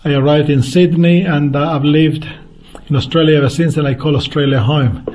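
A man's voice: a little high, fairly even intonation, brisk, a little mellow. A man in his fifties with a Chilean Spanish accent, a guest evangelist answering interview questions earnestly and unhurriedly, with frequent restarts. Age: 50s.

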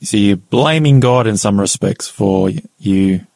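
A male host with a deep, gravelly voice steers the conversation with short, curious questions. The close mic picks up a dry, intimate sound. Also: measured pace, darkish tone, dry recording. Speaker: podcast host. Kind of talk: interviewing a guest.

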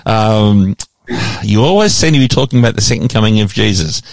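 A man's voice: on the low side, animated, a little fast, creaky, no echo. A man with an Australian accent co-hosting casual radio banter and putting questions. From New South Wales, Australia. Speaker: radio co-host reading questions. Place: radio studio.

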